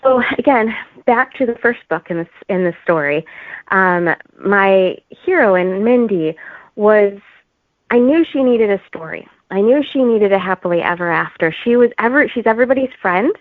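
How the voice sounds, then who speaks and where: A woman talking as an author guest in a casual interview, with an informal, halting, giggly manner. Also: mid-range voice, lively intonation, no echo, phone-quality sound.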